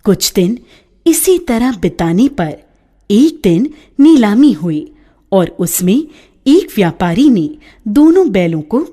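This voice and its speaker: Slow, lilting woman storyteller. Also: mid-range voice, warm tone, husky. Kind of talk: scripted storybook narration.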